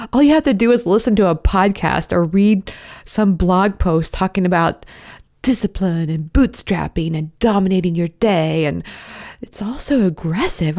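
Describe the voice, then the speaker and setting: A woman's voice: medium pitch, a little mellow. Chatty, upbeat woman podcast host. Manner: chatty, upbeat, many fillers like "you know". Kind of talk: podcast intro and interview.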